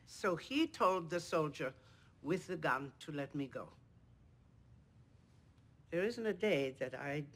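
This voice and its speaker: A modest elderly rescuer. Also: female voice, low-pitched. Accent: Austrian. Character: elderly woman wartime rescuer, modest and gentle.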